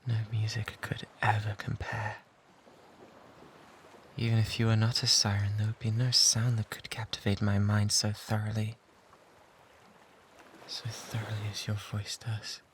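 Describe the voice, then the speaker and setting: Breathy British lovesick pirate, acted by a man. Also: fairly low pitch, completely flat delivery, measured pace, pauses often, natural voice. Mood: tender longing. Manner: breathy, drifting into whispers, theatrical pleading. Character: lovesick obsessive male pirate, pleading and devoted. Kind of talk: intimate ASMR roleplay acting. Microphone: close binaural microphone. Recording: close binaural recording with background ambience noise.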